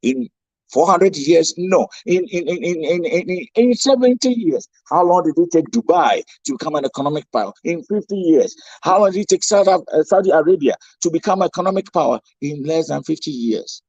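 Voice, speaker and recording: A man's voice: fairly high pitch, lively intonation, brisk, a little mellow, no room sound, poor audio. A man from Ghana with a Ghanaian accent, giving a rousing speech as a guest speaker, full of rhetorical questions and repetition. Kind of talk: impassioned speech.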